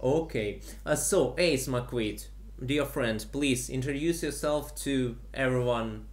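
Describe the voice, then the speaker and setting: Male host, slow and hesitant, lots of fillers. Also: medium pitch. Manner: halting, many fillers, frequent laughs. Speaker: stream host. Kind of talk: casual live-stream chat.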